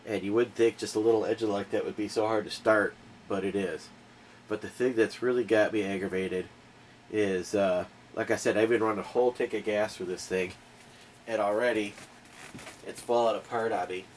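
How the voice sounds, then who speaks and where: A man's voice: on the low side, steady tone, normal speed, a little hiss or hum. Stuffy-nosed man with a folksy ramble. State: stuffy nose from a cold. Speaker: home DIY reviewer. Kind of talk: casual product review and repair. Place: garage indoors. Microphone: camera mic, off-screen.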